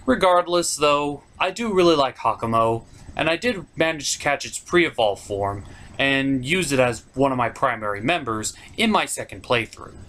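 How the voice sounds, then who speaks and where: A man's voice: mid-range voice, animated, normal speed, fairly bright tone. A man hosting a top 10 countdown, giving opinionated video game commentary in an informal, emphatic way. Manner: informal, emphatic, with mild swearing.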